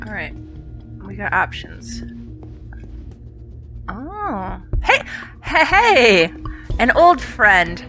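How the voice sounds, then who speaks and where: A woman's voice: mid-range voice, very animated, very slow, long pauses, music in the background. A woman hosting a let's play, commenting casually and curiously, congested from a cold. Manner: chatty, curious.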